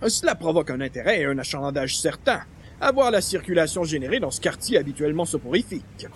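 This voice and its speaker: A man's voice: fairly high pitch, very animated, quick pace, slight background noise. A man voicing a pompous, blasé talking cat with flowery, formal diction, in radio comedy style. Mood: smugly delighted. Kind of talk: old-time radio comedy acting.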